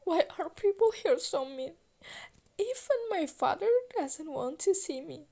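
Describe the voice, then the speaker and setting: A woman's voice: very high-pitched, animated, measured pace, gravelly, warm tone, pitched above the performer's own voice, exaggerated voice. A woman voicing a sad young princess in a storybook tale, pleading and hurt as she questions her parents.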